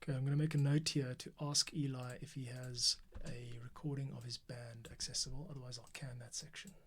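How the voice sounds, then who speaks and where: A man's voice: mid-range voice, flat delivery, a little fast. A man thinking aloud as he edits a podcast in a tutorial, muttering to himself and sometimes half-whispering. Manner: muttering to himself, often half-whispered, humming snatches of sound. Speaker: podcast editor giving a behind-the-scenes tutorial. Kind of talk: thinking aloud while editing audio.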